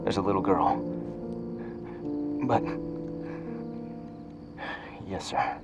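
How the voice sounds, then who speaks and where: Hesitant soldier, obedient, lowish pitch, video game cutscene, music very loud. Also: male voice, drawn-out words. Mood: hesitant, tense. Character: obedient soldier on guard, reporting to his commander, hesitant. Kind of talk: dramatic video game acting.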